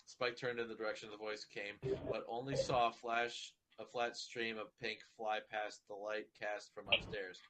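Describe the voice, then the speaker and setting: A man's voice: fairly low pitch, level tone, moderate pace, few pauses, fairly bright tone, rough recording. A man reading a fan fiction story aloud informally, stumbling over words and sometimes swearing.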